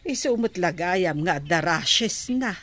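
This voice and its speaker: A woman's voice: lowish voice, animated, slow, a bit bright, no room sound, exaggerated voice. A woman playing a worried, hesitant middle-aged mother in a radio drama. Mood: worried, evasive. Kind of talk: Ilocano radio drama acting.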